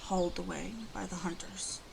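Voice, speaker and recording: A woman voicing a guarded, grieving female werewolf in an ASMR roleplay, halting and brooding, often dropping to breathy half-whispers. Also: lowish voice, little pitch movement, slight background noise, rough recording. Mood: grieving, grim. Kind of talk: ASMR roleplay monologue addressed to listener.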